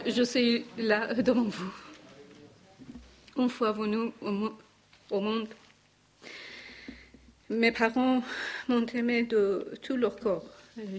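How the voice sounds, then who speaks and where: A woman in her forties with a Persian accent reads her testimony from notes to a church gathering. She speaks very slowly and carefully, pausing between phrases, in a mid-pitched voice. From Iran. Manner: careful, measured reading with pauses.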